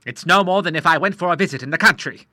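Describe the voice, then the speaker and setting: Man playing a booming old sea admiral. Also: fast, sharp tone, no echo, studio quality. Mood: boisterous. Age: 60s. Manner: booming, boisterous, nautical talk. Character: bluff old male naval admiral, hearty.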